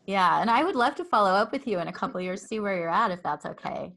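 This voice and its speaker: A woman's voice: medium pitch, expressive, quick pace, creaky, fairly bright tone. A woman hosting an interview, earnest and wordy, with frequent ums and repeated words. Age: adult with a child. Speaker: interview host. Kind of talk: interview conversation.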